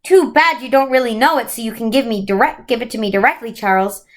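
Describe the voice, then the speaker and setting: A woman voicing a majestic winged creature from a storybook, kindly, grand and gently encouraging. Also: on the high side, fast talker, natural voice. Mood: patient explaining.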